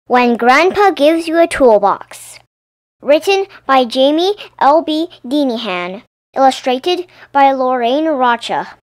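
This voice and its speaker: A woman hosting her own channel, reading a children's picture book aloud with gentle, clear enunciation and playful emphasis. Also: high voice, lively intonation, slow, dry recording. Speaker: storybook reader and channel host.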